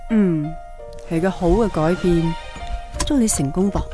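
A woman's voice: fairly low pitch, unhurried, music in the background, natural voice. An actress playing a friendly, supportive woman in a radio drama. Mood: encouraging.

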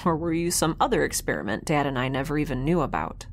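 An actress playing a flustered, sarcastic young woman scientist in a science fiction audio drama, with a halting, rambling, wry delivery. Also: low-pitched, big pitch swings, a little hiss or hum. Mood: unsettled, rambling. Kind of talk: naturalistic audio drama dialogue.

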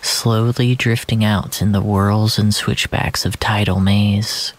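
A narrator reading scripted first-person fiction in a measured, literary storytelling manner. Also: sits low, little pitch movement. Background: music.

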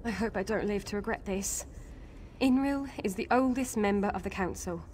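An actress playing a wary, reluctant female wood elf in video game dialogue, cool, sceptical and deliberate. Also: mid-range voice, darkish tone, natural voice. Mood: reluctant, resigned. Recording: game dialogue over clear ambient noise.

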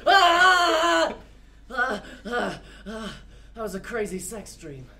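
A woman's voice: on the high side, sing-song, slow pace, full character voice. A woman voicing a dopey, panicky young stoner man in a live fandub, laughing and frantic. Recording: live panel with crowd noise.

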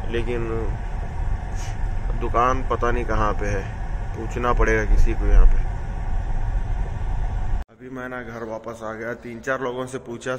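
Chatty man vlogging from his car. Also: medium pitch. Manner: chatty, talks to viewers while going about his day. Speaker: house driver vlogger. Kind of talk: casual vlog narration while driving. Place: in a car and around a city. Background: faint traffic and street noise.

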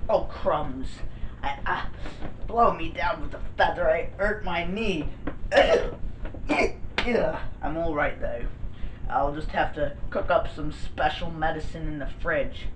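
A man's voice: fairly high pitch, slow pace, noisy background. A teenage boy playing a silly, pompous celebrity chef with a put-on fake accent, giggling at his own jokes. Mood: goofy. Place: bedroom with gaming desk. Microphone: far camera. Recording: echoey room from far off.

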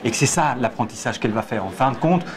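A man's voice: medium pitch, animated, fast talker. Hesitant man talking in a busy theatre. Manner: hesitant, many repeated starts, gestures while talking. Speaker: stage director and theatre head. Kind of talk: thoughtful interview answers. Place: theatre auditorium, in a box seat. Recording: busy crowd chatter behind. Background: crowd chatter.

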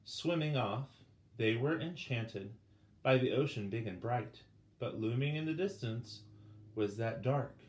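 A middle-aged man reading a children's picture book aloud with dramatic pauses, turning to the listeners with questions. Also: medium pitch, animated, darkish tone. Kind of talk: expressive children's storybook read-aloud. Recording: roomy indoor sound with faint background noise.

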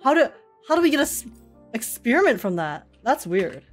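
A woman giving casual reaction commentary as she plays a video game, chatty and thinking out loud. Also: fairly high pitch, very animated, brisk. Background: game music.

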